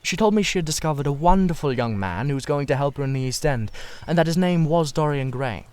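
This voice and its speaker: A man's voice: on the high side, expressive, quick pace, darkish tone, clear, resonant tone, lightly acted. A man voicing a witty, cynical, self-satisfied Victorian aristocrat in a dramatised reading of a classic novel, with a theatrical manner. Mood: animated, amused.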